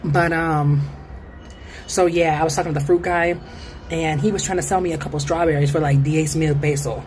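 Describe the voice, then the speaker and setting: An American woman with a low voice speaks in a casual vlog monologue. Her delivery is informal and slangy, like everyday conversation. The recording has clear ambient noise behind her. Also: steady tone, normal speed. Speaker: vlogger.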